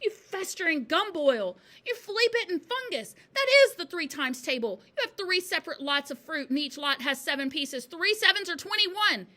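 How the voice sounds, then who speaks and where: A woman voicing a huge, furious bullying headmistress from a storybook, snapping and shouting with contempt. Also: sits high, animated, fairly quick, voice pushed up, full character voice.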